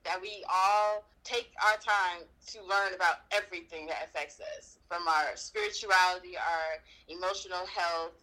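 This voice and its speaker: A woman speaking as a guest on a casual podcast, animated and emphatic. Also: fairly low pitch, moderate pace, few pauses, poor audio. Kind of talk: casual chat.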